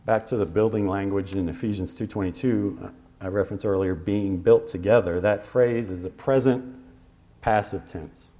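Low-voiced man preaching a sermon. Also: steady tone, mellow. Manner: conversational, with fillers and pauses; slows to stress scripture references. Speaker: pastor.

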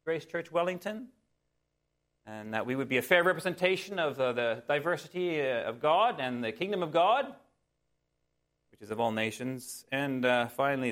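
A man's voice: mid-range voice, fairly bright tone. A man preaching conversationally as a pastor, musing in a folksy way and speaking directly to his listeners. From Canada. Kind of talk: sermon, conversational with personal anecdotes.

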